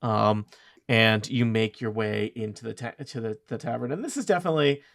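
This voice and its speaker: A man's voice: lowish voice, brisk, gravelly, fairly bright tone, dry recording, clean studio sound. A man narrating as game master of a tabletop game, rambling with many ums and self-corrections.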